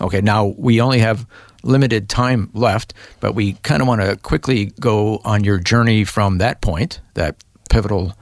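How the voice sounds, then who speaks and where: Male interview host, fairly low voice, chatty and attentive, lots of little agreeing murmurs, dry sound. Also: normal speed, no echo. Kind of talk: conversational interviewing.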